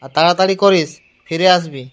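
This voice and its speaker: A man's voice: fairly high pitch, brisk, a little hiss or hum, close to an everyday voice. Man voicing a commanding village council chief. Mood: instructing. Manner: instructing, authoritative. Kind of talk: amateur comedy cartoon dialogue.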